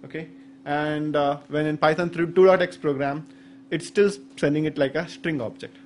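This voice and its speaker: Slightly high-voiced Indian man explaining. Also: steady tone, normal speed, a little mellow, a little hiss or hum. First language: Saurashtra. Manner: hesitant explaining with many fillers and restarts. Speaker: conference speaker. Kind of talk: technical talk. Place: medium indoor conference room. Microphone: stand microphone at the podium.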